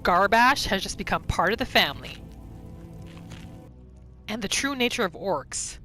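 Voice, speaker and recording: A woman's voice: medium pitch, lively intonation, a little slow. A woman gaming YouTuber giving casual, story-like commentary as she narrates a roleplay playthrough. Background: game music.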